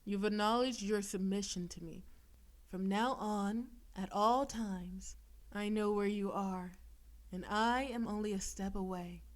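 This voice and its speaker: Slow, possessive demon girlfriend, voiced by a woman. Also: medium pitch, steady tone, lightly acted. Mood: commanding, soothing. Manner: slow, teasing, softly commanding, lapsing into breathy whispers. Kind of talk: intimate audio roleplay addressed to the listener.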